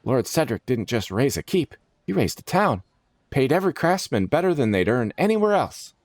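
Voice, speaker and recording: A man's voice: mid-range voice, sing-song, normal speed, no echo, clean studio sound, pitched above the performer's own voice. A man voicing an eager, proud male adventurer who explains things earnestly, as storybook character dialogue. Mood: enthusiastic.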